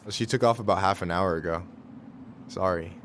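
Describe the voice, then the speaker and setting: An actor playing a laconic, deadpan store worker in a naturalistic video game drama. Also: male voice, sits low, normal speed, a little hiss or hum. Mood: dry, casual. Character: laconic, deadpan male store worker, casual and mildly grumbling.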